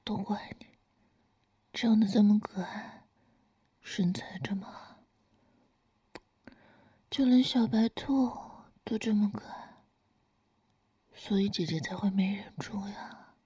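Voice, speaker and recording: A woman voicing a teasing, doting older lover in a whispered audio roleplay, speaking in a soft, coaxing, breathy whisper. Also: medium pitch, takes it slowly, long pauses. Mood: tender, coaxing.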